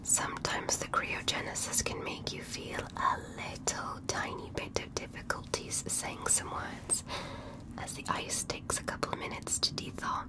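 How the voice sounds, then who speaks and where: A woman softly whispering an ASMR medical roleplay as a gentle alien examiner, speaking slowly and reassuringly up close. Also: low-quality recording.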